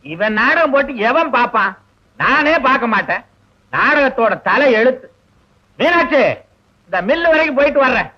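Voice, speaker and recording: A man's voice: very high voice, expressive, normal speed, pauses often. An actor playing a short-tempered, middle-aged father who mocks and scolds his grown son. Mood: scolding. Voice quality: sharp, mocking scolding. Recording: muffled old film soundtrack.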